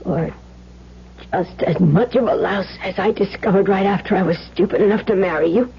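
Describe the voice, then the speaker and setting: An embattled wife and mother in an old radio drama. Her husky voice is tense and clipped as she stands her ground, heard on a dull, lo-fi recording. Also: medium pitch, moderate pace. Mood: cold defiance. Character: embattled remarried wife, defiant and protective mother.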